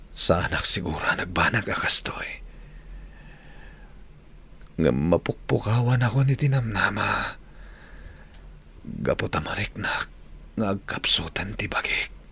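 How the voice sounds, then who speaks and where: An actor with an Ilocano accent playing an ailing, regretful young man in a radio drama, weak, breathy and pained. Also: low-pitched, pushed and bright, full character voice. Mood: regretful, weary. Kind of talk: Filipino radio drama acting. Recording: music bed under the voice.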